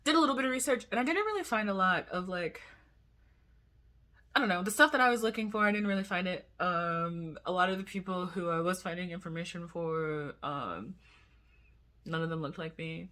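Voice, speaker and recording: A man's voice: high-pitched, a little fast, pushed and bright. A young man vlogging in a casual, rambling way, full of asides and giggles. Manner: chatty, giggly, talks in loose asides. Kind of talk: casual rambling chat to camera.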